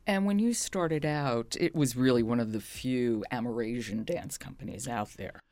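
A woman's voice: extremely low pitch, moderate pace, darkish tone, dry recording, studio quality. A woman asking questions as an interviewer, in a conversational style.

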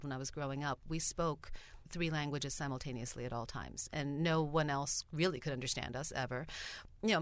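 A middle-aged woman playwright from Sweden, whose first language was Bulgarian, answering interview questions thoughtfully. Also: deep voice. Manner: thoughtful, reflective, occasional laughs. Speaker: playwright and actress.

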